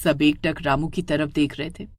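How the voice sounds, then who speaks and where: A woman's voice: low-pitched, fairly even intonation, moderate pace, no room sound, clean studio sound. A woman narrates a scripted horror story in a dramatic, suspenseful way.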